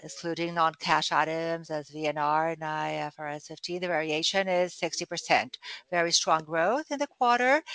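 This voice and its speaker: A hoarse man, a chief financial officer, presenting quarterly financial figures on an earnings call with occasional fillers. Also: a little high, dry recording, rough recording. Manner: steady reading of figures with fillers.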